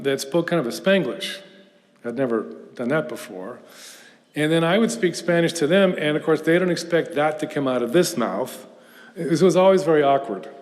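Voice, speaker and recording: A middle-aged man from Houston giving a conference talk as guest speaker, telling stories in a relaxed, self-deprecating way with fillers. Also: on the high side. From Houston, Texas, USA. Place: lecture hall. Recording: lecture hall with long reverb.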